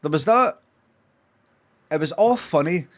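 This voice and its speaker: Glaswegian man, somewhat high-pitched, casual streamer chat, rambling, repetitive, emphatic, lots of swearing. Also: animated, measured pace. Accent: Glaswegian Scottish. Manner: sweary, emphatic, repeats himself. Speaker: livestreamer.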